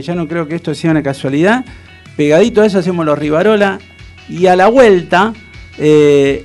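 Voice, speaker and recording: A man's voice: fairly high pitch, a little mellow. A man with an Argentine accent from Buenos Aires hosting live radio in a casual, chummy way, often repeating himself. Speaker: radio host.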